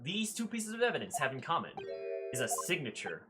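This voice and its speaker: Earnest young defence lawyer, voiced by a woman, low pitch, lively swings, polite, music behind. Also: brisk. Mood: confident. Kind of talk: amateur dramatic reading of game dialogue.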